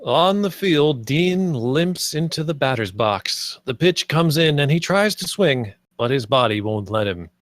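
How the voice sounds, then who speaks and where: A man's voice: fairly high pitch, expressive, a little slow, no echo, studio quality. A man reading stage directions as scripted narration at a table read.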